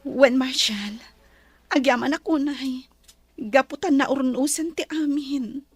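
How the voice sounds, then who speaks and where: A woman's voice: high-pitched, takes it slowly, no echo, faint music behind. An actress with an Ilocano accent playing a kind, grateful woman of a wealthy household in an earnest drama.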